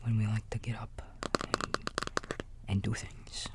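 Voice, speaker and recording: A man playing a kindly raccoon babysitter in a bedtime ASMR roleplay, whispering softly and gently reassuring a small child in a folksy, halting way. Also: lowish voice, flat delivery, lightly acted. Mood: soothing, playful. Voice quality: soft, breathy whisper. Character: kindly big-brotherly male raccoon babysitter.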